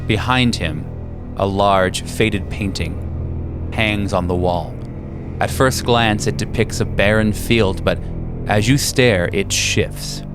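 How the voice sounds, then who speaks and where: A man narrating a horror story as game master, in a deliberate, ominous manner. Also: on the low side, over music. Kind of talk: scripted horror narration in second person.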